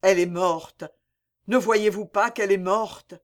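Man voicing a grieving young lover, impassioned, pleading, slow, high voice. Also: fairly even intonation, fairly bright tone. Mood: despairing. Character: grieving young basket weaver, desperate lover. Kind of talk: dramatic poem reading.

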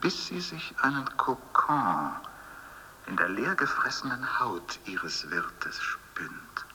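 Very sharp, slow sinister naturalist. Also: male voice, medium pitch, sing-song, whispered, exaggerated voice, low-quality recording. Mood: grimly deliberate. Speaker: narrator. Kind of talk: declaimed scientific narration in radio play.

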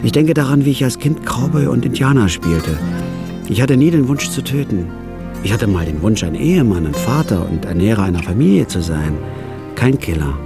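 A man narrating a radio drama in the first person as a store clerk, in a flat, laconic, hard-boiled way. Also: mid-range voice, normal speed, music in the background.

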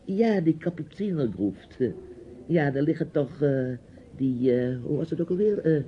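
A dull, hissy old radio play recording of a bossy older man. His pitch swings widely as he rambles, hesitates and gives orders in a nosy, theatrical way. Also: animated, unhurried. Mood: chatty, rambling. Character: chatty, bossy, nosy older relative with a niece. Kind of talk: radio drama acting.

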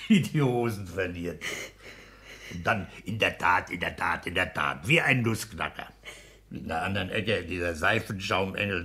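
Ein Mann spielt in einem Hörspiel der sechziger Jahre einen polternden, herrischen, pedantischen kleinbürgerlichen Ehemann und Beamten. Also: male voice, fairly low pitch, pushed and bright, husky, exaggerated voice. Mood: mocking, boisterous. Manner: pedantic, domineering, emphatic. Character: blustering, bullying petty-bourgeois husband and civil servant. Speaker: radio play actor. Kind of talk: 1960s German radio play acting.

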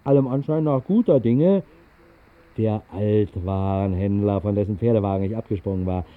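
Casting: male actor for wry, whimsical narrator of a 1960s radio play, mid-range voice, very lively intonation, musing conversational delivery, slightly muffled vintage sound. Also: medium pitch, natural voice. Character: whimsical man recounting a fantastical night flight over the city.

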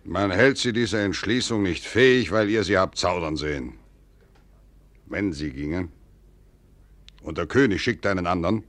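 An actor playing a grave, shrewd, wary prince in a classical German radio drama, with deliberate, weighty declamation. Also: male voice, deep voice, a bit bright, dry recording. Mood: probing, measured.

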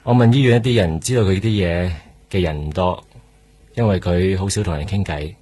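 Junior hk cop, low husky voice, deferential, radio drama. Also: male voice, a little fast, dark tone. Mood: matter-of-fact. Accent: Hong Kong. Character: dutiful junior male detective, deferential to his boss.

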